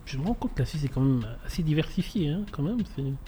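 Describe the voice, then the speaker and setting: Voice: medium pitch, animated, fast talker, warm tone, a little hiss or hum. A radio host leading an interview with short questions and prompts.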